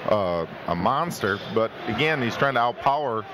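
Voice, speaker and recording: A man's voice: medium pitch, lively intonation, moderate pace. A man doing play-by-play commentary at a fight, chatty and joking, asking his co-commentator questions. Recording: noisy arena.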